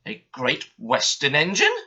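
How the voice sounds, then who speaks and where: Loud, suspicious foreman voiced by a man; bright, lo-fi. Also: on the high side, huge pitch swings, unhurried, breathy edge, full character voice.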